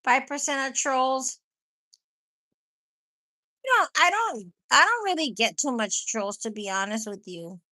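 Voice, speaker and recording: A woman with a stuffy nose talks loosely to her live chat, hopping from thought to thought and dropping the odd swear. Her voice sits a little high and rings bright and forceful. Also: animated, unhurried, pauses often. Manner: rambling, laughs often, swears casually. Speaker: livestream host chatting with viewers.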